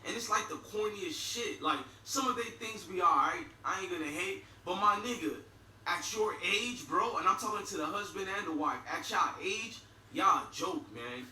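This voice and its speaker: A man's voice: sits high, animated, moderate pace, pushed and bright, a little hiss or hum. A middle-aged man with a Haitian accent, giving a blunt, profane video commentary with mocking laughs. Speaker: YouTube commentator. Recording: echoey room, far from mic.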